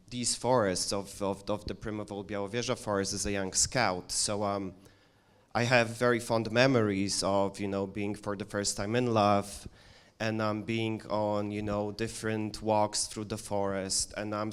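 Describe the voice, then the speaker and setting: A man speaks earnestly as a conference panelist, pausing often with fillers. His voice is low and resonant. He weighs each point with care. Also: clear, resonant tone. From eastern Poland. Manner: thoughtful, earnest, many fillers. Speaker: journalist and activist panelist. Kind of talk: panel discussion answer.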